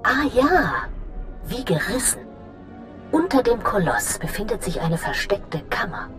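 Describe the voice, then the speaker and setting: A woman playing a scheming, imperious female Sith lord in a German game dub, commanding and deliberate. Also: mid-range voice, sing-song, soft background music. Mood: pleased, gloating.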